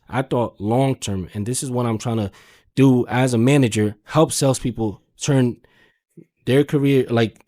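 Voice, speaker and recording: A man's voice: mid-range voice, warm tone. A man talking casually as a podcast guest, in an emphatic, slangy, free-swearing way full of verbal tags. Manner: slangy, emphatic, swears freely, peppers talk with "man" and "you know". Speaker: podcast guest, car sales manager. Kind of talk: casual conversational storytelling.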